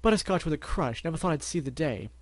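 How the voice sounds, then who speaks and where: A man's voice: fairly high pitch, expressive, fast talker, dry recording, pitched above the performer's own voice. A man putting on a fussy, theatrical unicorn stallion. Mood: prissy.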